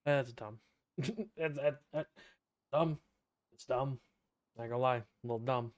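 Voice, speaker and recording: A man's voice: medium pitch, a little slow. A man casually commenting on a game as he streams it, blunt and dryly joking. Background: game music.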